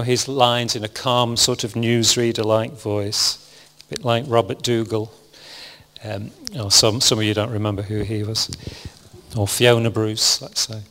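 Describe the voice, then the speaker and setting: A man preaching a sermon to a congregation, speaking calmly and evenly, with frequent restarts. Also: medium pitch, measured pace. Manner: calm, even, self-described monotonous delivery with frequent restarts. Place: church hall with congregation. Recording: long hall reverb.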